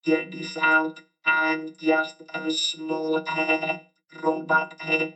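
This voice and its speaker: A man voicing a small, meek robot, slow and hesitant, through a robot voice changer. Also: monotone, bright, forceful tone, faint music behind, heavily acted. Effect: high-pitched robot voice changer.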